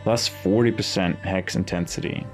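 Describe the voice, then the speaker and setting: A man's voice: deep voice, steady tone, normal speed, darkish tone. A man doing casual game commentary, thinking aloud in a musing, hesitant way as he reads game text. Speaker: gaming video host. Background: game music.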